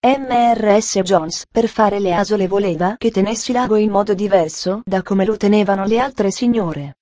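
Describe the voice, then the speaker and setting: An eager, talkative little girl from a storybook chatters on earnestly, as a woman reader puts her on. Her tone is soft and mellow, and the sound is dry and close, with no room around it. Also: medium pitch, moderate pace, no room sound, natural voice. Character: cheerful talkative young girl.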